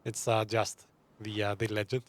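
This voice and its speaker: A man's voice: lowish voice, little pitch movement, normal speed. A man giving casual live commentary on a game, musing aloud and chatting to his viewers. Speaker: gaming streamer. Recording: game music behind the voice.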